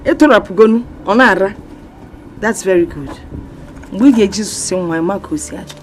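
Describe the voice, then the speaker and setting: A woman's voice: medium pitch, animated, a little fast, a little hiss or hum, lightly acted. An actress playing a stern, scornful middle-aged mother in a drama, with a Nigerian Igbo accent and a sarcastic, scolding manner. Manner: scolding, sarcastic, repeats phrases. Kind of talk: Nigerian film drama acting. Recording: faint music behind.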